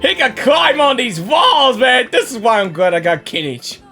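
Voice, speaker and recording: A gaming streamer, a woman with a highish, bright voice, reacting casually in slangy, excitable bursts. Her pitch is lively and her delivery unhurried, with faint game music behind. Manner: excitable, slangy, playful shouting.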